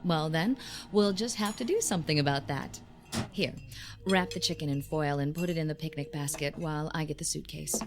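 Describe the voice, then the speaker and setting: A woman's voice: low voice, brisk, over music. An actress playing a wry, teasing, confident bride-to-be in her thirties in a radio drama, with playful dry banter. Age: 30s. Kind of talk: radio drama acting, naturalistic dialogue.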